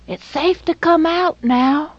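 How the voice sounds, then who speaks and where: A woman voicing a frightened woman who feigns shocked surprise, with breathless, high exclamations. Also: slow, dry recording. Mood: earnest pleading. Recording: dull lo-fi recording.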